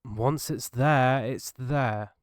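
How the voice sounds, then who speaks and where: A British man hosting his own podcast, talking earnestly and haltingly, his voice gravelly from a cold. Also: medium pitch, no room sound, clean studio sound. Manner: earnest, halting, restarts mid-thought. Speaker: podcast host. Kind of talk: solo reflective podcast monologue.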